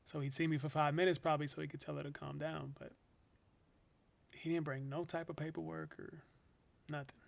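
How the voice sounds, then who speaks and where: A man's voice: fairly high pitch, flat delivery, creaky. An actor playing an earnest young man who was wrongfully jailed, speaking conversationally in a first-person radio play testimony. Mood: subdued, weary. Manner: halting, conversational, with small repetitions.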